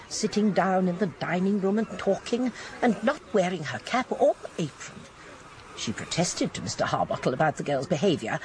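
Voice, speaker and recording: An actress playing a shrewd, genteel elderly English spinster sleuth in a British radio drama, speaking precisely. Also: female voice, lowish voice, darkish tone, slight background noise, rough recording. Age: older.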